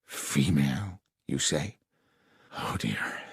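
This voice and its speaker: A man's voice: rumbling low, expressive, slow pace, creaky, husky, dry recording, clean studio sound, voice pushed down, exaggerated voice. A man voicing a polite, unsettled Victorian railway official in an audiobook, courteous and somewhat flustered. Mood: shaken, confused.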